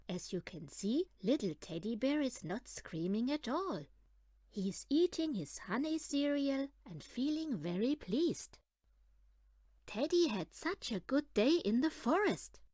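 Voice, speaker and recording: Slow, animated woman reading a picture book. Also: sits high, no echo, clean studio sound. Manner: animated, deliberate storytelling for young children. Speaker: audiobook reader. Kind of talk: expressive read-aloud of a children's picture book.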